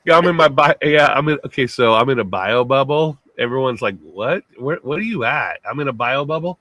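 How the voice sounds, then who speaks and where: A man with a gruff voice co-hosting a casual live talk show, loose and laughing, swearing freely. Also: medium pitch, animated, brisk, bright, forceful tone, dry recording. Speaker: co-host. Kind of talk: casual live-stream chat.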